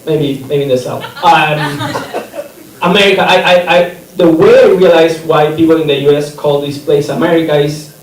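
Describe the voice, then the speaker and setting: A man's voice: on the high side, fairly even intonation, moderate pace. A man from Chile, performing a stand-up comedy set in a rambling, self-interrupting way. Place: comedy theater stage. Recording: echoey room from a distance, audience laughter.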